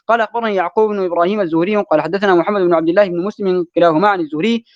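A man reading classical religious texts aloud as a student, in a rapid recitation style, over an online call. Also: high voice, steady tone, dry recording, lo-fi sound. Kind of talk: rapid recitation of Arabic hadith text.

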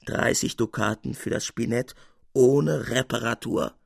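A man voicing a vain, stingy old man in a faint, halting, incredulous voice for a storybook. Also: mid-range voice, fairly even intonation, creaky, husky, no room sound, clean studio sound, full character voice. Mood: stunned, feeble. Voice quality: faint, weak.

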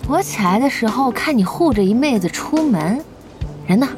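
An actress plays a nosy, bossy young woman who cares underneath, in an audio drama. Her fairly high voice swoops expressively. Music is clearly audible behind her. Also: expressive, normal speed, natural voice. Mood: teasing, nosy.

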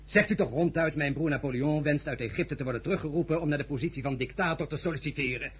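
An actor in a radio play as a blunt, stern French general and war minister, curt and formal. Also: male voice, fairly high pitch, quick pace, slight background noise, close to an everyday voice. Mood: stern, impatient.

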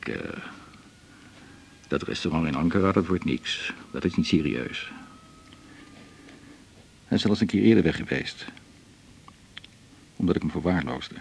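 An actor playing a melancholy man in his forties in a radio drama, with a gravelly, husky voice, confiding in a halting, reflective way. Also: extremely low pitch, animated, slight background noise, heavily acted. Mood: wistful, reflective. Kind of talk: naturalistic radio drama dialogue.

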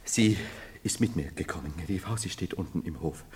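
An actor playing a theatrical, volatile medieval emperor, penitent and mocking by turns, declaiming in a 1970s radio drama that swings from hushed confiding to loud outbursts. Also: male voice, low-pitched, a little fast, a little hiss or hum, natural voice. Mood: pleading, agitated. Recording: long hall reverb, 1970s studio radio play.

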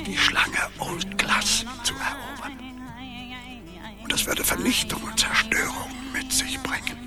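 Slow, mysterious half-whispering wise guide. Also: female voice, a little high. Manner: breathy, half-whispered, deliberate, portentous. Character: mysterious, solemn wise guide warning young heroes. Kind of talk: radio play acting. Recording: music under the voice.

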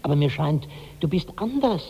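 An actor in a 1960s German radio drama playing a troubled, puzzled companion and witness, husky and creaky, with theatrical searching delivery. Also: male voice, fairly high pitch, sing-song, normal speed, exaggerated voice. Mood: doubtful. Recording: dull old radio recording, long reverb.

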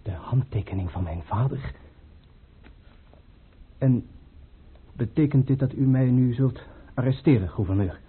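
A suspicious heir to the throne in an old radio drama. He speaks formally and with wounded pride in a very husky, mid-pitched voice. The recording is narrow and phone-like. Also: unhurried, long pauses. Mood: guarded. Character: proud, suspicious young crown prince, the tsar's son.

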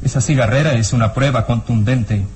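Man playing serious sceptical police inspector in old radio serial, medium pitch, bit of noise. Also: brisk, a little mellow, close to an everyday voice. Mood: serious, questioning. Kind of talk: radio drama acting.

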